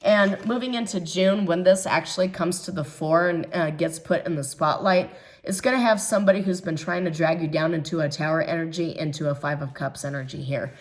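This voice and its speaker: A hoarse woman reads tarot in a loose, thinking-aloud way, her voice sitting a little low. She hesitates often with fillers, then presses her points with firm conviction. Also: fairly quick. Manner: musing, hesitant with many ums, emphatic about others' jealousy. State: hoarse from recovering illness. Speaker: tarot reader. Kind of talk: casual tarot reading monologue.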